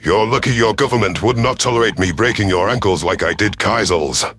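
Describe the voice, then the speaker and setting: A man reading a first-person science fiction story as a stern alien commander, with formal, measured wording. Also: lowish voice, fairly bright tone, soft background music. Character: stern alien reptilian military commander narrating. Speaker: audiobook narrator of science fiction.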